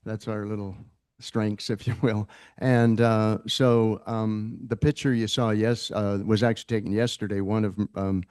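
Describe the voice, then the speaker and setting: A man's voice: fairly low pitch, little pitch movement, measured pace, darkish tone, clean tone, dry recording, clean studio sound. A man speaking as an applicant at a council meeting, chatty and rambling, often breaking off mid-sentence. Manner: chatty, rambling, self-interrupting. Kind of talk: public comment at a meeting. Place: council chamber.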